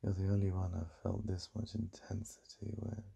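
A very dark, soft voice with heavy vocal fry: a man acting as a besotted English boyfriend in an ASMR roleplay. He speaks with a British accent, breathy and intimate, confiding his yearning to his lover. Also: level tone, normal speed, heavily acted. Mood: earnest, longing. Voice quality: deep, breathy, gravelly.

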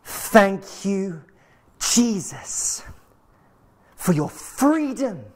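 Fervent preaching man, lively pitch. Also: drawn-out words. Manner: impassioned, sweeping arm gestures. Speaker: preacher leading prayer. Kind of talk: fervent prayer declarations. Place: studio in front of a logo screen. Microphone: lapel.

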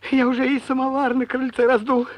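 A woman playing a fussy, caring young male bear cub in an animated film, husky and rambling, stumbling over words. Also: high-pitched, brisk, no echo, natural voice. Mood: fretful rambling. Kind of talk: Soviet animated film character acting.

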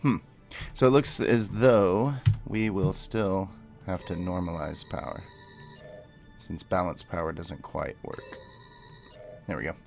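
A man's voice: low-pitched, fairly even intonation, takes it slowly, gravelly, pauses often, a little mellow, soft background music. A man hosting a game tutorial, commenting and speaking voice commands to the game. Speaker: gaming video host and player.

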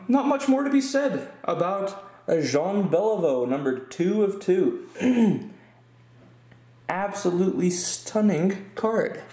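A man hosting his own card-opening videos, chatting casually with fillers and excited exclamations, recorded by a camera mic at a tabletop indoors. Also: sits high, reverberant. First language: English. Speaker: host of a card-opening channel. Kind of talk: casual hobby commentary.

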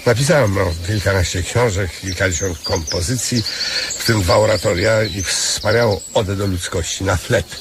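An actor playing a crafty, vain beggar and down-at-heel artist in a radio drama, rambling hesitantly with provincial turns of speech. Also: male voice, sits low, normal speed, noisy background. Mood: boastful, plaintive.

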